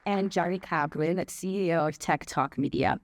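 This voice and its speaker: A woman moderating a live panel discussion, asking measured questions and introducing the speakers. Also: lowish voice, a faint crowd. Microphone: handheld microphone.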